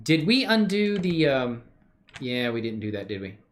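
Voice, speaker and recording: A woman's voice: very deep voice, sing-song, moderate pace, a little hiss or hum. A woman hosting a casual live animation tutorial, thinking aloud and chatting in an upbeat way with viewers she calls man and bro.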